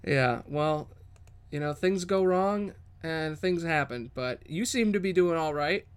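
A man's voice: fairly high pitch, measured pace, smooth, lightly acted. A man voicing a nervous, chatty female kobold thief in a tabletop game, stammering and bargaining casually.

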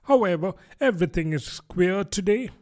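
Storybook young girl, played by a man: high, lilting, polite, earnest, curious chatterer. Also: expressive, moderate pace, darkish tone. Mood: rueful. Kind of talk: storybook character voice.

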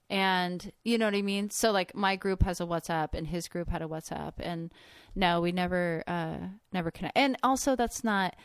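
A woman's voice: medium pitch, clear, resonant tone, no room sound. A woman with a light Californian accent, a podcast guest telling her story in a chatty, casual way. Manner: chatty storytelling, laughs often. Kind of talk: casual conversation.

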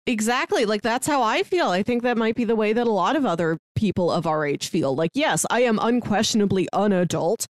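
A woman's voice: mid-range voice, lively intonation, brisk, few pauses, dry recording. Woman in her thirties, dry close sound. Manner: conversational, retells scenes with quoted dialogue. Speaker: podcast co-host. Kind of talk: casual film discussion.

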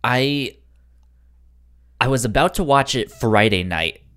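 Halting man with lively, swinging intonation. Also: mid-range voice, clean tone. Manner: halting, stammers on words. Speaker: podcast co-host. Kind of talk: casual chat.

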